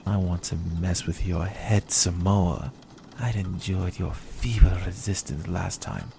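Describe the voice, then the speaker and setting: Man as a predatory male vampire, low creaky voice, smug teasing menace, coaxing ASMR roleplay, noticeable background noise. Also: fairly even intonation. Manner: slow, teasing, menacingly coaxing, sometimes whispering.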